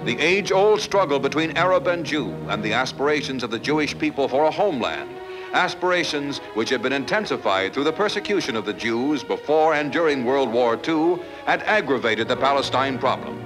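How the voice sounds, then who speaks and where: A man's voice: mid-range voice, lively intonation, fairly quick, music in the background, low-quality recording. A man narrating an archival newsreel in a formal announcer delivery.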